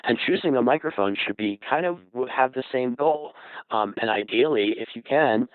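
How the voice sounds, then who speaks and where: A man's voice: medium pitch, expressive, normal speed, smooth, no room sound. A man speaking as a guest in a conversational panel discussion, explaining things with everyday examples, heard through a narrow radio transmission. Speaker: panel guest on voice-over audio.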